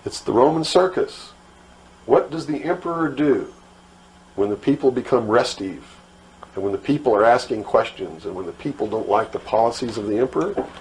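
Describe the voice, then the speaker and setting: A middle-aged American man giving an impassioned lecture, emphatic and full of rhetorical questions and deliberate repetition. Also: mid-range voice, animated, a little mellow. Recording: noisy old talk recording.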